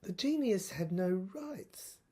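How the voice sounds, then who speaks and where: A woman playing a troubled infantry soldier in an audio drama monologue, speaking in halting, deliberate phrases with a husky voice. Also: lowish voice, lively intonation, mellow, full character voice. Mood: grave, revelatory. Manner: halting, broken phrases with deliberate emphasis and repetition. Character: troubled infantry soldier piecing together a secret government document.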